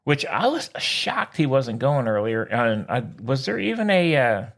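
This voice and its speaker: A man's voice: medium pitch, very animated, measured pace, vocal fry, fairly bright tone. An American man co-hosting a sports podcast, chatting in an informal, buddy-to-buddy way with casual banter. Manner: informal, peppered with "man", "dude" and "sir".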